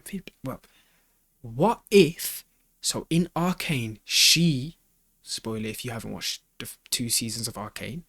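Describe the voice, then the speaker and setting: Male reaction host, medium pitch, casual thinking-aloud delivery full of slang, close and dry sound. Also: fairly bright tone, dry recording.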